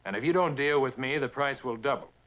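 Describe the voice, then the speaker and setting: An actor playing a proud, bitter prospector, blunt and insistent, in a mid-century television drama. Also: male voice, medium pitch, fast, fairly bright tone, dull audio, lightly acted. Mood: defiant. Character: proud, bitter mineral prospector, a man hard bargainer.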